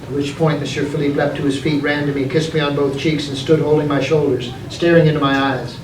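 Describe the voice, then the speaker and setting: A man with a fairly high voice reads his own story to be heard live. He is theatrical and deliberate, leaning on dramatic pauses. The recording is distant and echoey, with clear noise behind him. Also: flat delivery. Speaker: author reading from his own book. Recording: echoey, noisy room, distant mic.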